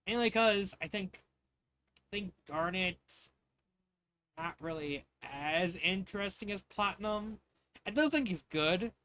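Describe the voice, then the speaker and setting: Man, bright forceful tone, slightly high pitch, casual reviewer, halting and repetitive phrasing. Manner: halting, repeats and restarts phrases. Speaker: fan fiction reader and reviewer. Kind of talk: casual read-aloud and rambling commentary.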